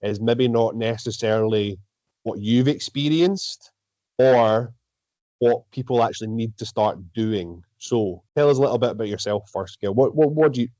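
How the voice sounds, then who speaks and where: A Scottish man hosting a casual interview, feeling his way haltingly through long, rephrased sentences. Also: mid-range voice, dark tone, dry recording, dull audio. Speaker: host, strength coach.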